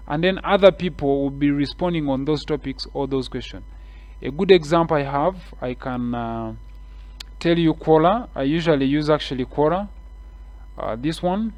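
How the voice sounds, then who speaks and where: A man with a Kenyan English accent, guiding viewers step by step through a screen-share tutorial with relaxed, repeated explanations and frequent fillers. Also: on the high side, no room sound.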